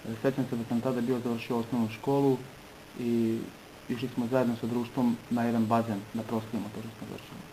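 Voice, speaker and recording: Man from Serbia, personal testimony, earnest, flat even delivery, middle pitch, short phrases with pauses. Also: a little hiss or hum, low-quality recording.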